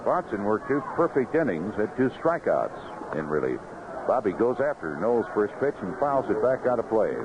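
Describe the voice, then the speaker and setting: Animated male baseball announcer, noisy old radio. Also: lowish voice, moderate pace. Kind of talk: live radio baseball commentary. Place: outdoor ballpark broadcast booth. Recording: noisy dull vintage 1970s AM radio broadcast.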